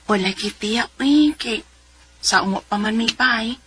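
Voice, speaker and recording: A woman's voice: on the high side, measured pace, fairly bright tone, a little hiss or hum. An actress with a Cebuano accent playing a married mother in her forties who tells her story in a radio drama. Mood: chatty. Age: 40s. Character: Zenaida, 42-year-old married mother of two, letter sender. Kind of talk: radio drama acting and letter narration. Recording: music bed behind the voice.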